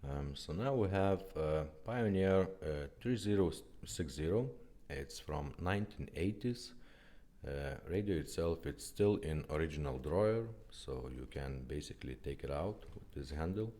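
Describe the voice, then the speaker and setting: A man who is not a native English speaker gives a casual hands-on product demonstration as a restorer, explaining plainly and haltingly step by step. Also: rumbling low, expressive, mellow. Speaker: car radio restorer presenting a product demo.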